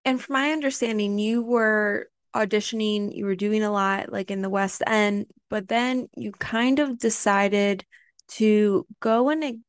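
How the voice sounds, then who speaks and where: A woman hosting an interview, asking quick, curious questions. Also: medium pitch, steady tone, clear, resonant tone, dry recording. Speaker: podcast host.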